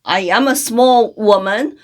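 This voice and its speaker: A woman's voice: mid-range voice, slow pace. A middle-aged woman from China whose first language is Chinese, speaking as a guest in an interview, earnest, with many fillers and restarts. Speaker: guest, congressional candidate. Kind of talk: interview conversation.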